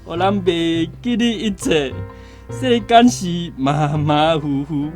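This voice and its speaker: A man's voice: fairly high pitch, takes it slowly, a little mellow. A man acting in a Taiwanese radio drama as a carefree, hearty drinker with a loose way of talking. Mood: jovial, coaxing. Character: carefree, laughing male drinker urging others to drink. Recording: plucked guitar music behind voice.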